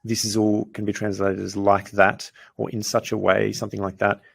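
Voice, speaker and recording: A man teaching grammar as a language lesson, patiently explaining example sentences and slowing down to say the foreign phrases clearly. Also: lowish voice, monotone.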